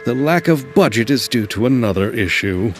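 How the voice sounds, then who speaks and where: A man playing an earnest, eager film producer in a video game, hesitant and flattering toward others. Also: medium pitch, moderate pace, soft background music, lightly acted.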